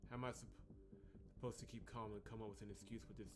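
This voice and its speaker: A man's voice: on the low side, fairly even intonation, quick pace, noisy background, close to an everyday voice. A man reading out an anxious, sardonic young visual novel protagonist's thoughts and lines. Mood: muttering, uneasy.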